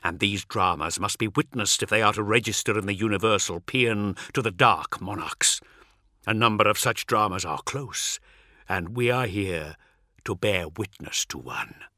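A cryptic dark apostle from an audiobook, acted out by a male narrator, speaking low and slow. He is portentous and coolly mocking, with breath creeping into his grand pronouncements. The sound is close and dry. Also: no room sound. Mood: grandiose, cryptic.

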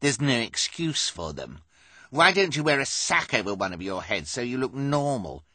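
A man putting on a bright, sneering rude heckler. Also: medium pitch, big pitch swings, no echo, pitched above the performer's own voice. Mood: sneering, sarcastic. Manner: sarcastic, drawn-out jeering. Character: rude, sneering pimpled man who taunts freaks.

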